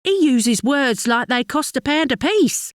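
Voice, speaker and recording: An actress playing a chatty working-class London wife in a dramatic monologue, fond and mildly exasperated, confiding in a gossipy, colloquial way with a London accent. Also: female voice, sits high, animated, a little slow, no echo, clean studio sound. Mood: confiding, impressed. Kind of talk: dramatic monologue, conversational storytelling.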